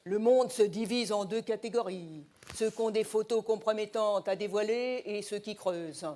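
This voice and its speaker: A man's voice: sits high, moderate pace, a little mellow, lightly acted. A man voicing a brash older woman, a tough-talking tabloid paparazzi boss who mumbles around a cigarette, wisecracking and menacing in old gangster-film slang in a comic mock interview. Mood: scornful, wisecracking.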